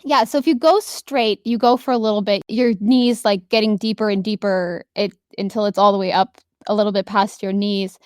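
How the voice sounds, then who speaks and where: A woman's voice: mid-range voice, fairly even intonation, normal speed, few pauses, smooth, no room sound, studio quality. A woman improvising narration as a tabletop game master, descriptive and conversational.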